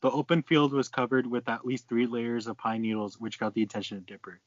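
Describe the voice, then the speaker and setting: A man's voice: mid-range voice, flat delivery, brisk, no echo. A man casually reading fan fiction aloud, stumbling over lines and breaking off to swear and comment. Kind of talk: casual read-aloud with banter. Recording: dry, dull lo-fi close mic.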